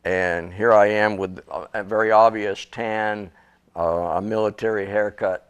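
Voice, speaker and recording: An older man with a somewhat low-pitched voice speaks plainly and matter-of-factly. He sounds like an interview guest telling his story. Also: steady tone, measured pace, clean tone. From Western Massachusetts. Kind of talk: interview guest recounting memories.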